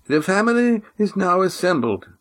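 A man's voice: high voice, big pitch swings, normal speed, a bit bright, pitched above the performer's own voice. A man voicing a frail old patriarch with a thin but firm, formal voice.

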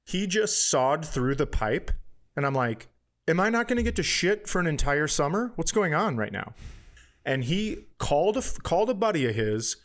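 A man co-hosting a podcast, telling an everyday story casually, with swearing and quoted dialogue. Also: on the high side. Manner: profane, animated retelling with quoted dialogue. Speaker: podcast co-host.

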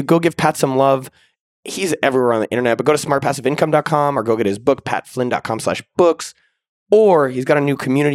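A man hosting a podcast, upbeat and slangy, with a slightly raspy voice. He chats casually and closes the show with shout-outs. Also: medium pitch, no room sound, clean studio sound. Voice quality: a little raspy. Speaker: podcast host. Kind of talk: casual podcast chat and outro.

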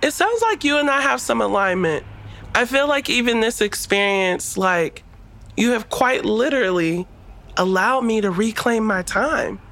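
A woman's voice: on the high side, expressive, measured pace, fairly bright tone. An actress playing a sassy, outspoken young woman in a naturalistic audio drama, with slangy, emphatic delivery. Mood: playful.

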